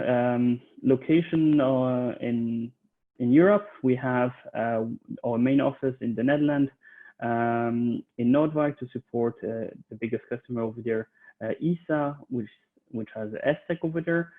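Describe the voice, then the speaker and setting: Man from Switzerland, recruiter presenting from home, halting delivery full of fillers, thin phone-like audio. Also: medium pitch. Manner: hesitant, many fillers and restarts. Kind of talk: online conference presentation. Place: home office.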